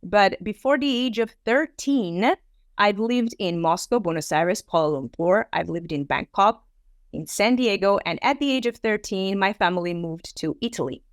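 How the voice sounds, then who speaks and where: A woman in her thirties, raised in Armenia with Armenian as her first language, gives a conversational online presentation. She has a middle pitch and often acts out quotes. The sound is dry and close. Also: moderate pace, no echo. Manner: conversational, animated, with frequent fillers and acted quotes. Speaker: teacher trainer.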